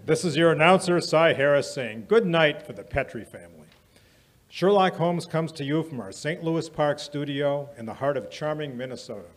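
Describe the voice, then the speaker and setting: A man's voice: fairly high pitch, animated, normal speed, lightly acted. A man playing an eager, folksy 1940s radio announcer with a hearty salesman's manner. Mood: hearty selling. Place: small indoor museum studio stage. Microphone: vintage-style stand microphone.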